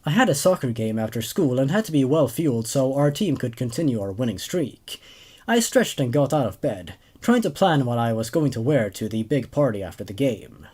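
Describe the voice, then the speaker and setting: Young man, lively pitch, horror story host. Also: normal speed, breathy edge. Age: 20s. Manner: hesitant, repeats words when commenting. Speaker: host and narrator of horror stories. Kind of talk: reading horror stories aloud and casual commentary.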